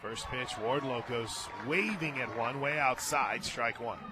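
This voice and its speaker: American man, radio softball play-by-play, conversational, clipped calls, noisy outdoor booth. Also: mid-range voice, a little slow. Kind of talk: live softball play-by-play commentary.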